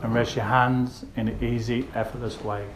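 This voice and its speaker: A man leads a guided meditation, giving gentle, unhurried instructions with pauses between phrases. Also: mid-range voice, flat delivery. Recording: roomy echo with faint background noise.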